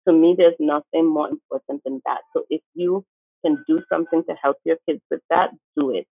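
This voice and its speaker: A woman's voice: low-pitched, fairly even intonation, brisk, vocal fry, slightly rough, dry recording. A woman from St. Martin, a teacher, speaking earnestly and emphatically as a guest in an interview. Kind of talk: conversational interview. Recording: tinny phone-like line.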